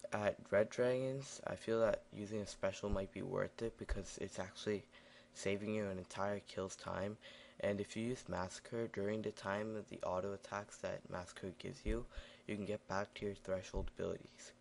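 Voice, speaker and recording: A young man recording a casual gameplay voiceover, raspy and tired in the morning, rambling with many fillers. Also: on the low side, darkish tone, a little hiss or hum. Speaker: gaming video narrator.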